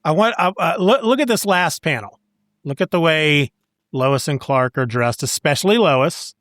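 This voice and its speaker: A man with a high voice talks casually as a podcast co-host. He retells plot points in an animated, conversational way, and the sound is dry with very little room. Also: normal speed, no room sound. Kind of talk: casual comic book discussion.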